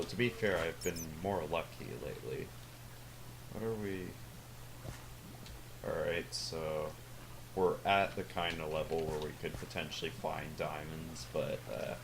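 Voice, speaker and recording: A teenage boy with a deep voice that sounds older than his age, talking over his gameplay in a rambling, hesitant way full of fillers and self-corrections. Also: background noise. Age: teens. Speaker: gaming channel host. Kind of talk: casual gameplay commentary.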